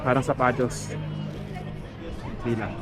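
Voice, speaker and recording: A man's voice: medium pitch, flat delivery, unhurried, over music. A man with a Filipino accent chatting casually as a family travel vlogger, mixing Tagalog and English. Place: outdoors at busy tourist spots. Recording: outdoors with busy crowd chatter.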